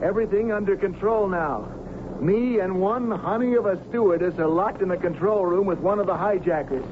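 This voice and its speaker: An actor playing a plucky, take-charge airline pilot with a crisp delivery in an old-time radio drama. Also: male voice, sits high, a little fast, natural voice. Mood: confident, breezy. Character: brisk, plucky male airline pilot held by hijackers. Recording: tinny vintage radio, noisy cockpit drone.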